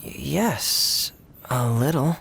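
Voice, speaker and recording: A man's voice: mid-range voice, animated, very slow, creaky, a bit bright, slight background noise, full character voice. An actor playing a shy, reserved teenage boy in a video game, hesitant and earnest.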